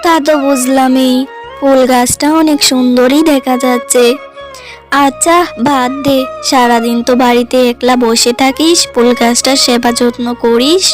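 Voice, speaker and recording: A woman's voice: high-pitched, fairly even intonation, measured pace. A woman voicing a poor, frail old grandmother who scolds and worries over her granddaughter in a cartoon. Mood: tender worried. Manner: scolding, weary, protective. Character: poor frail old grandmother, loving, bitter at son-in-law. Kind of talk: cartoon voice acting. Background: music.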